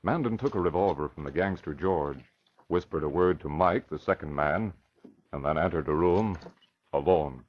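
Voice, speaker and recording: Low-voiced dramatic radio announcer, male. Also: moderate pace, a little hiss or hum. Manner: dramatic, declamatory. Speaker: announcer and narrator. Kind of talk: 1940s radio scripted narration. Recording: muffled old radio recording. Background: music.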